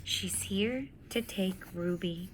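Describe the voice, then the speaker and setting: A woman teacher reading a chapter book aloud to her students. She takes her time, shaping each sentence slowly and carefully. Her pitch sits in the middle for a woman, with a faint hiss of background noise. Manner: slow, deliberate storytelling with excited asides.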